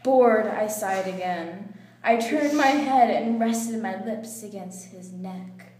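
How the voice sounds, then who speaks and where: A woman's voice: mid-range voice, slow, a little mellow. A young woman giving a playful, giggly dramatic reading of romantic fiction. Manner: playful, often breaking into giggles. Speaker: reader in a water-spitting challenge. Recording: noisy, echoey room with clicks.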